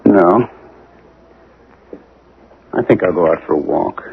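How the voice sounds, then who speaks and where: A man's voice: sits low, slow, vocal fry, breathy edge, exaggerated voice. An actor playing an insistent, obsessed male archaeology professor with alien memories in a 1970s radio drama. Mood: evasive, subdued. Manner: insistent, intense. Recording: thin, narrow-band 1970s radio recording.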